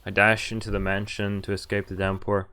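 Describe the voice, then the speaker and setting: Smooth-voiced man reading a game aloud. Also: fairly low pitch, completely flat delivery, fairly quick, bright, forceful tone. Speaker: streamer reading a visual novel aloud. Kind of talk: live read-aloud of game dialogue and narration.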